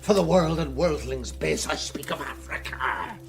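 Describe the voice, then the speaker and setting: A man playing an excitable, merry, bombastic Elizabethan fellow, exclaiming and declaiming in a Shakespearean radio drama. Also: fairly high pitch, moderate pace, creaky.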